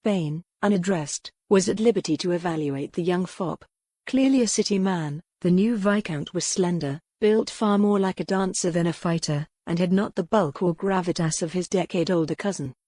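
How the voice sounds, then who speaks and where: A woman narrating a novel in a measured, even storytelling manner on a close mic. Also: lowish voice, no echo. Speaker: audiobook narrator. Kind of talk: scripted narration.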